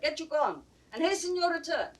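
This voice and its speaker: A woman's voice: high-pitched, big pitch swings, fairly quick, distant mic. An actress playing a cold, scheming, imperious noblewoman in a classical 1960s Shakespeare audio drama, with commanding, scornful theatrical phrasing.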